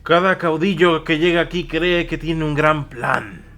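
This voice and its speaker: A man's voice: a little high, unhurried, fairly bright tone, soft background music, voice pushed up. Man acting a scornful, battle-worn mercenary, raised voice. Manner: spitting, challenging, mocking. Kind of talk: audiobook fantasy war drama.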